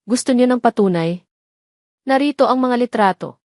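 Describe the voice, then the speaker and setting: A woman's voice: a little high, lively intonation, moderate pace, darkish tone, no echo, clean studio sound, pitched above the performer's own voice, lightly acted. A woman voicing a wronged wife in an audio drama, bitter and pained but controlled. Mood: bitter, accusing. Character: wronged young wife, bitter yet composed.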